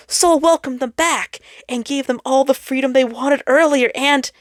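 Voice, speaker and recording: Lady doing a dramatic sun guy from a story, hurt and indignant, pitched up, dry sound. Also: dry recording, natural voice. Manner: melodramatic, indignant, emphatic. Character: wounded, melodramatic male sun, indignant at his planets. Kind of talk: dramatic storybook character reading.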